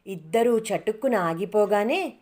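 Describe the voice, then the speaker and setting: A woman's voice: medium pitch, lively intonation, a little slow, darkish tone. A woman storyteller reading a scripted moral tale as narrator.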